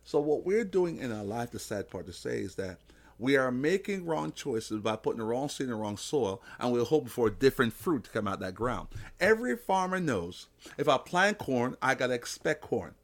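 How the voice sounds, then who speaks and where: A man's voice: mid-range voice, big pitch swings, normal speed. A man giving an emphatic, preacher-like motivational talk on faith as a podcast host. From Jamaica. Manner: emphatic, preacher-like, illustrates with simple analogies.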